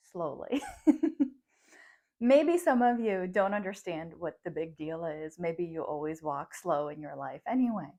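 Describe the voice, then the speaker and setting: Woman in her thirties, psychologist and coach, first language Russian; reflective talk to camera, quick laughs between thoughts. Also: on the low side, a little mellow. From grew up in the United States from age ten, born abroad.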